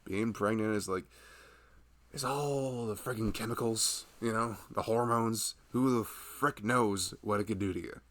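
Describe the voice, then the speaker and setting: A man playing a big, caring husband in an intimate ASMR roleplay, talking softly and fussing affectionately over his pregnant wife. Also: fairly low pitch, measured pace. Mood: playful, concerned. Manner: soft, tender, fussing, playful.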